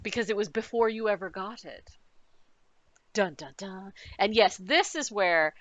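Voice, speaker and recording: A woman's voice: mid-range voice, a bit bright, no echo, highs cut off. A woman running a tabletop game, recapping the adventure with playful, dramatic storytelling and teasing asides. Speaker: game master. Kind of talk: recapping a tabletop campaign with players.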